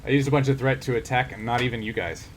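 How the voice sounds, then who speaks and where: Male game master, mid-pitched, casual narration, halting delivery, noisy rumbling background.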